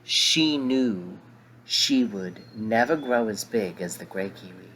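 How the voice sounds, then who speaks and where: Low-voiced guy reading a kids' book, slow and gentle, little explainy asides, faint hum behind. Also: expressive, vocal fry, rough recording. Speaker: storyteller reading a picture book to children. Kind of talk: read-aloud story with asides.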